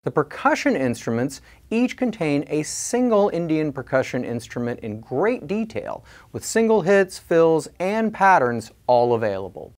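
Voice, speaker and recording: A man narrating a scripted product review in a clear, explanatory way with measured phrasing. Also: on the high side, big pitch swings. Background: music and instrument demos.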